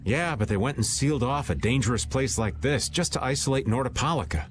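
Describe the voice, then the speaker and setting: A man's voice: medium pitch, lively intonation, a little fast, natural voice. An actor playing a playful, sly older man, a joking veteran adventurer in a video game. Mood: matter-of-fact. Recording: music under the voice.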